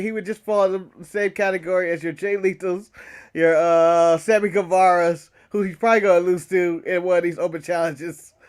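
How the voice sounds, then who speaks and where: An American man co-hosting a casual podcast, bantering and joking, often saying a phrase twice. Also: high-pitched. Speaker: wrestling podcast co-host.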